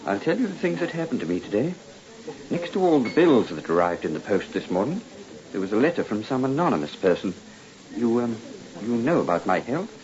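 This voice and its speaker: Male actor as timid middle-aged clerk, stammering, polite, expressive pitch, radio play, muffled old recording. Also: brisk, close to an everyday voice. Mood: glum, rueful. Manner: hesitant, stammering, deferential. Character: meek, anxious, ailing male ledger clerk. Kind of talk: old-time radio drama acting.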